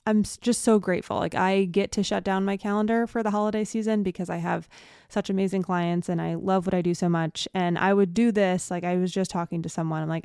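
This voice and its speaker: A woman's voice: lowish voice, a little mellow, clear, resonant tone, dry recording, studio quality. A young adult woman hosting a solo podcast, chatting casually and rambling with fillers and restarts, sounding a bit stuffy and nasal. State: congested. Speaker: podcast host.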